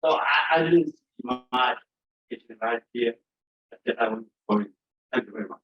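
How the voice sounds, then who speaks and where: A man's voice: mid-range voice, moderate pace. Hesitant man from Ethiopia. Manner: hesitant, many fillers and restarts. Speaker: conference attendee. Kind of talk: audience comment. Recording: dull lo-fi sound.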